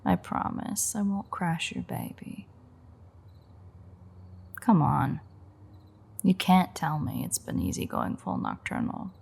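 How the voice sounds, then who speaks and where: A woman playing a playful, teasing vampire with dark predatory confidence in an intimate, sardonic audio roleplay, her voice husky and breathy. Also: low voice, wildly swooping pitch, slow pace, long pauses, heavily acted. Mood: playful, coaxing. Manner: teasing, sardonic, swearing banter.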